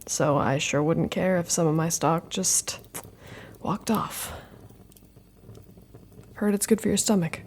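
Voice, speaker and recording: An actress playing a dry, guarded but kindly roadside host in an understated audio drama. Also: female voice, fairly low pitch, slow pace, pauses often, darkish tone. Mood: wry, guarded. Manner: wry, understated. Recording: faint background noise.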